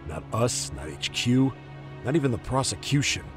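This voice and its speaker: An actor playing a gruff, jaded, foul-mouthed middle-aged police detective in an English game dub. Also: male voice, mid-range voice, big pitch swings, moderate pace, over music, close to an everyday voice. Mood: weary, irritated.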